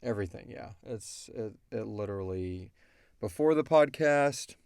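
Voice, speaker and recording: A man's voice: lowish voice, lively intonation, normal speed, creaky, darkish tone, smooth, dry recording. A man hosting a podcast in casual question-and-answer chat, rambling along with self-deprecating jokes. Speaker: podcast host and creator.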